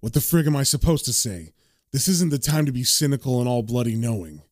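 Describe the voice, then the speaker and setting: A man's voice: mid-range voice, lively intonation, a little fast, dry recording, clean studio sound, voice pushed down, lightly acted. A man voicing a world-weary, cynical British man narrating his own story in terse, gloomy mutters. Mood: guilty, cynical.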